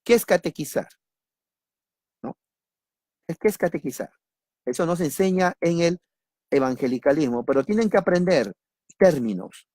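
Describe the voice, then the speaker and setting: A man from Peru with a Peruvian accent, teaching doctrine in a sermon, repeating key words and asking and answering his own questions, with a lively, joking manner. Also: on the high side, moderate pace, long pauses, dry recording, clean studio sound. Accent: Peruvian Spanish. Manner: lively, jokes with listeners. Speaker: preacher.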